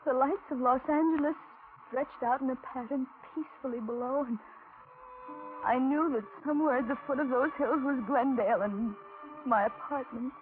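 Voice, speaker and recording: An actress playing a frightened young carhop, halting and melodramatic, in a 1940s radio suspense drama. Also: female voice, high-pitched, over music, natural voice. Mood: dazed. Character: frightened young carhop recounting her nightmare ordeal. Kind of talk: 1940s radio drama acting, first-person narration. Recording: tinny 1940s radio recording.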